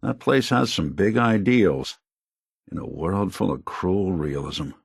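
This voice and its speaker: A man voicing a wry, kindly, world-weary android private detective in a video game, with a gravelly, husky voice and a laconic hardboiled delivery. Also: low voice, animated, slow pace, no echo, clean studio sound, exaggerated voice. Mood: somber, reflective. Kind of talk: video game character dialogue.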